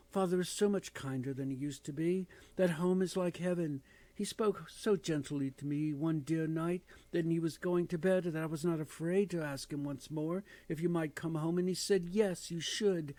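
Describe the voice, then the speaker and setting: Male reader doing a gleeful little girl, high and slow, storybook. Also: warm tone, no echo. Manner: high, bubbling with glee.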